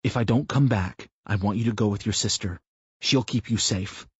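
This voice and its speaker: A man's voice: fairly low pitch, moderate pace, a little mellow, breathy edge, no echo, muffled recording. A man voicing a troubled, earnest father who talks in a weary, pleading way in an audiobook.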